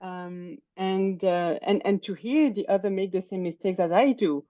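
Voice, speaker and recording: A woman's voice: mid-range voice, moderate pace, warm tone, no room sound, lo-fi sound. A woman whose first language is French, talking hesitantly in an online English lesson and often searching for words. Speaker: English student. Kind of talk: conversation practice in an online lesson. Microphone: video call.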